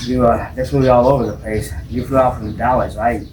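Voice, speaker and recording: A man's voice: medium pitch, fairly even intonation, fairly quick. Casual male interviewer, distant and noisy recording. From United States. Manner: informal, says "you know" and "man" often. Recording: distant mic, loud vehicle ambience.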